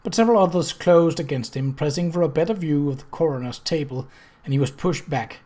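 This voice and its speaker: A measured narrator reading a novel aloud. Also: mid-range voice, mellow. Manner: literary, measured storytelling with character dialogue. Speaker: audiobook reader.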